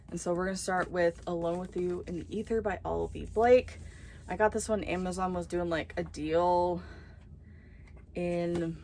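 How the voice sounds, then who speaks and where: Young woman vlogger, chatty and excitable, lowish voice, faint hiss behind. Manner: chatty and excitable, drops to whispered asides. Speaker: book vlogger. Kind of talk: casual book haul chat.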